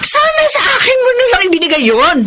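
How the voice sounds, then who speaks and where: A woman's voice: extremely high pitch, big pitch swings, fairly quick, shouting, heavy background noise. A woman playing a resentful, covetous middle-aged sister and aunt in a Filipino radio drama, nagging with emphatic exclamations. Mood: indignant, reproachful.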